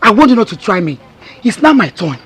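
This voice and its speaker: A woman's voice: medium pitch, sing-song, fairly quick, slightly rough. A woman playing a furious wife who scolds and threatens others. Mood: threatening. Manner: scornful, threatening. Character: furious young wife named Blessing.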